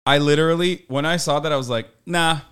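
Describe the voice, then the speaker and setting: A man's voice: fairly high pitch, quick pace, fairly bright tone, clean tone. A man hosting a podcast in loose, profane casual chat, full of fillers and jokes. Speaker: podcast host.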